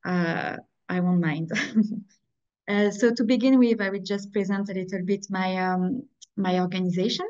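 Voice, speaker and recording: A young woman with a strong French accent presents a webinar over a video call, speaking carefully and a little haltingly, in dull lo-fi call audio. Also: mid-range voice, steady tone, darkish tone. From France. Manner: careful, slightly halting, apologetic.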